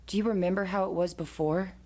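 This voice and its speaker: A stern, proud matriarch of a tribe, acted by a woman in an audio drama. Her middle-aged voice sits low for a woman and carries command, as a mother and ruler used to being obeyed. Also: steady tone, fast, warm tone, close to an everyday voice. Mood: accusing. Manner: commanding, authoritative.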